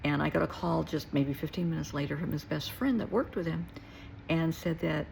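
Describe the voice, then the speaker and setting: Low, creaky-voiced woman speaking earnestly. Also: normal speed, a little mellow, slight background noise, rough recording. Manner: earnest, emphatic, repeats short phrases for weight. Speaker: therapist and nurse. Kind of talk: reflective personal talk to listeners.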